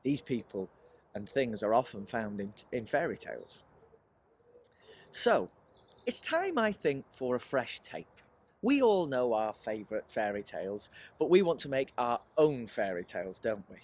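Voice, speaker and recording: Animated man teaching children. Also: medium pitch, a little slow. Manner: animated and encouraging, often posing questions to the listener. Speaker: writer leading a children's creative writing workshop. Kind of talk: presentational teaching aimed at children, with rhetorical questions.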